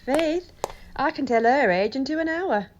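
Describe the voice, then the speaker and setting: A woman acts an old, sentimental nurse from a Shakespearean audio play. She rambles fondly in a high, animated voice, taking her time over exclamations. The home recording is slightly dull. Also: a little slow, a little hiss or hum. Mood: rambling, nostalgic. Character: garrulous, sentimental, doting older female nurse. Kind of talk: Shakespearean audio drama acting.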